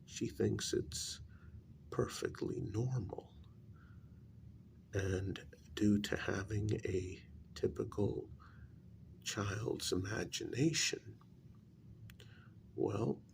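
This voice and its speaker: Man playing grave paranormal consultant, ASMR role-play, soft and breathy, heavy vocal fry, halting blunt delivery, noisy recording with clicks. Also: lowish voice, heavily acted. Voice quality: breathy, gravelly soft voice dropping near whisper. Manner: slow, halting, deliberate delivery with long pauses.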